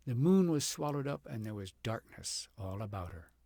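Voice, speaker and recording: Male audiobook reader, husky and creaky, dramatic fairy-tale delivery, close dry sound. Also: medium pitch, big pitch swings, moderate pace, dark tone, dry recording. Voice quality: gravelly, slightly husky. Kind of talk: expressive storybook narration of a fairy tale.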